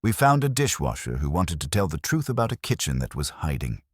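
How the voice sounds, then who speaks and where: Man playing a slick, smug provocateur, lively intonation. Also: low-pitched, brisk, breathy edge, no echo, clean studio sound, exaggerated voice. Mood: defiant, smug. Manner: glib, defiant.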